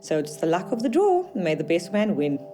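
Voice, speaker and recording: A woman's voice: on the low side, big pitch swings, brisk, over music. A woman who works as a booker at a model agency, talking frankly and matter-of-factly in a documentary interview.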